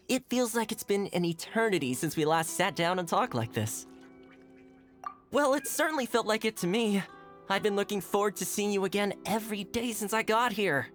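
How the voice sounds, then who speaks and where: An easygoing young man chats in a relaxed, friendly way. It is clean game dialogue with faint music underneath. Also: high-pitched, normal speed.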